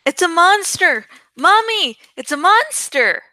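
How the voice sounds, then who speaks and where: A woman voicing a terrified little child in a story reading, shrill and wailing. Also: sing-song, slow pace, pushed and bright, no echo, full character voice. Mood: frightened.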